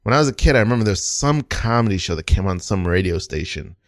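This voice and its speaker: Low-voiced man hosting, dry close sound. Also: expressive, fast talker, dry recording. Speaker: comedy podcast host. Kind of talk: casual chat.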